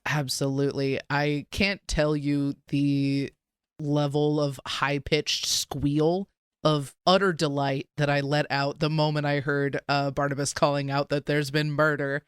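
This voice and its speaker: A man co-hosting a podcast, chatting casually and recapping in a smooth, clear voice. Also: fairly high pitch, little pitch movement, unhurried, no echo, clean studio sound. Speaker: podcast co-host. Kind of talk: casual chat with recap narration.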